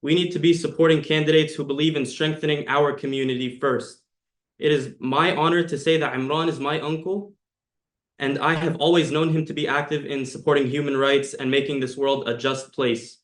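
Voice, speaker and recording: A man's voice: a little high, steady tone, normal speed. Young adult male, American accent, sincere prepared endorsement, roomy echoing sound. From United States. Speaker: supporter giving an endorsement. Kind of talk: prepared speech, earnest testimonial.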